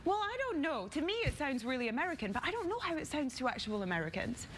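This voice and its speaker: High, lively young Scottish woman. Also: fast talker, very noisy. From Scotland. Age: young adult. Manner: chatty, self-deprecating, giggly. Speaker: actress guest. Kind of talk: talk show interview. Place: TV studio set with audience.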